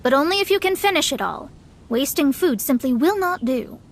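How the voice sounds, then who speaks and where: A woman's voice: high-pitched, expressive, normal speed. A woman voicing a proud, formal young female astrologer who acts as a generous master, in a video game. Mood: haughty. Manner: haughty, formal and self-important.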